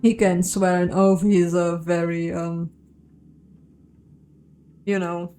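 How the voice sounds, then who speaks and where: A woman's voice: fairly low pitch, flat delivery, slow pace, mellow, soft background music. A woman playing through a story game, reading it out and commenting casually as she goes. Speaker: video game streamer and narrator.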